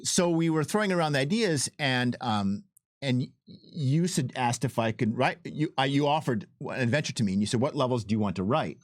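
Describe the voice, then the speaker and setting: A man's voice: medium pitch, lively intonation, fairly quick, dry recording. Casual male storyteller, dry close sound. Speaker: game developer and co-host. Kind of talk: casual chat, anecdotal.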